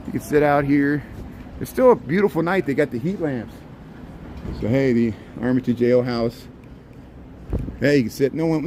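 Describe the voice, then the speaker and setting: A man's voice: fairly high pitch, warm tone. A man in his forties from near Cincinnati, with a slight Cincinnati-Kentucky twang, giving a rambling, chatty walking-tour commentary on a city street at night and hamming it up for viewers. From near Cincinnati, US Midwest. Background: faint street and traffic noise.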